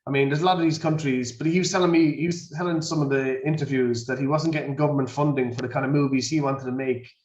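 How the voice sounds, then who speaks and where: A man's voice: mid-range voice, steady tone, fast, few pauses, a little mellow. Rambling man, distant and muffled. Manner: rambling, peppered with "like". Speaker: co-host of a movie review show. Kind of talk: casual film chat. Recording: distant, dull, echoey mic.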